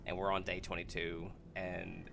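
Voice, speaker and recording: A man in a casual group chat, explaining a story haltingly with self-corrections. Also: deep voice, monotone, creaky, a bit bright, a little hiss or hum. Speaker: podcast host.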